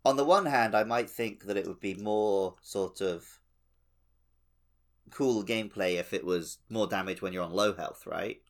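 Low-pitched man chatting casually. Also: a little slow. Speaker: podcast co-host; teacher. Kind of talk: casual gaming podcast chat.

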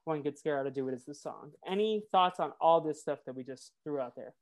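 Low-pitched nasal woman, chatty podcast co-host. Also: animated, a little fast, a little mellow. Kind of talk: casual episode recap and chat.

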